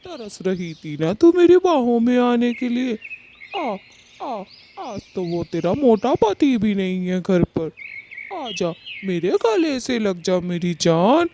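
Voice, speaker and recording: A woman acts out a vain, lecherous male crow in a cartoon tale. The voice is mellow and gravelly, drawling slowly with animated swoops. He swaggers and taunts. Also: on the high side, a little hiss or hum, full character voice. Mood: leering, flirting. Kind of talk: Hindi cartoon moral story dialogue.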